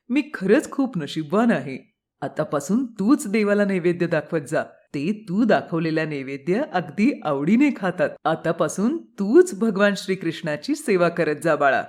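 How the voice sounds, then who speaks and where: A woman's voice: lowish voice, lively intonation, measured pace, a little mellow, voice pushed down. A woman voicing a devout, loving father with a reverent, affectionate way of speaking. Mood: reverent, proud. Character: devout, proud, loving father of a grown girl.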